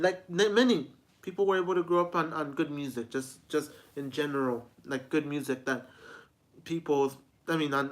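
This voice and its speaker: A man in his twenties giving a rambling, repetitive personal vlog talk, often restarting his sentences as a music reviewer. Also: a little high. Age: 20s.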